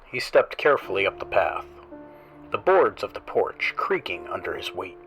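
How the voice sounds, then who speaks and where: A man hosting a horror story show, reading scripted tales in a slow, theatrical voice that often drops into hushed whispers. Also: low voice, big pitch swings, faint music behind, poor audio. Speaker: horror show host and narrator.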